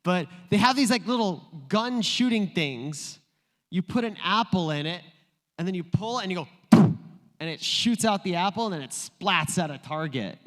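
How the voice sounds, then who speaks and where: A male pastor preaching a sermon in a playful, joking storytelling way. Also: high voice. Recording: long reverb in a large room.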